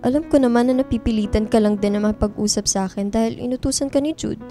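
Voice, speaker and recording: A guarded young woman student in a radio drama, played by a woman, with a fairly high but very mellow voice. She is frank and dry in her delivery, and faint music sits underneath. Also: quick pace, close to an everyday voice. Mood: blunt, defensive. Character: guarded, blunt, introverted young female student. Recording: music bed behind dialogue.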